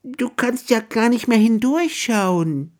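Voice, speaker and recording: A storyteller voicing a timid, tidy, polite male hare in a children's story, talking high and eager. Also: animated, slow, a little mellow.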